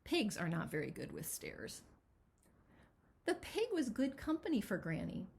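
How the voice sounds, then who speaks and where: A woman reading a picture book aloud as its author, with expressive, dramatic storytelling. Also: mid-range voice, a little slow, vocal fry, a little hiss or hum. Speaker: children's author reading their own book.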